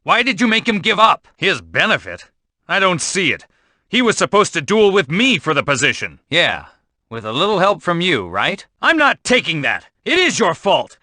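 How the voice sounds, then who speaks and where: A man's voice: sits high, huge pitch swings, normal speed, bright, forceful tone, no room sound, studio quality, heavily acted. A man voicing an earnest, bitter young rival apprentice in a video game, with an emphatic, aggrieved way of speaking. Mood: indignant, aggrieved. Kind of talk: dramatic video game voice acting.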